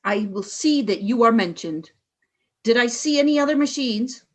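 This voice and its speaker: A woman's voice: a little high, animated, moderate pace. A woman playing a cold, ruthless alien warrior leader in a sci-fi radio play, terse and commanding.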